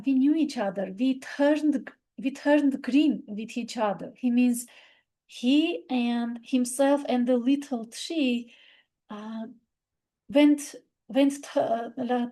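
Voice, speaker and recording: A thoughtful woman whose first language is Armenian talks about poetry in English. Her voice sits a little high but sounds mellow and warm, and she moves slowly through her ideas with frequent ums, pauses and self-corrections. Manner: halting, many fillers and restarts; reflective, thoughtful. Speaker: literature video presenter. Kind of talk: unscripted literary talk.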